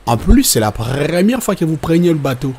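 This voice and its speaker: A man's voice: fairly high pitch, sing-song, moderate pace, vocal fry, darkish tone. A man playing a patient cartoon father who explains God and nature to children in a reassuring, teacherly way. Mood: relieved. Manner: didactic, reassuring.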